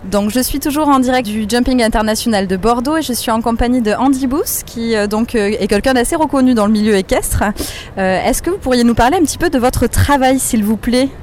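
A woman reporting live from a horse show and interviewing guests with brisk questions. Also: fairly high pitch, darkish tone, a little hiss or hum. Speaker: field reporter.

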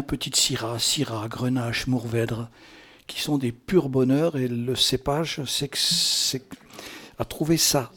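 Male radio host, mid-pitched, chatty and improvised, teasing and flowery, with a rambling theatrical streak. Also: breathy edge. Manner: playful, teasing, flowery compliments.

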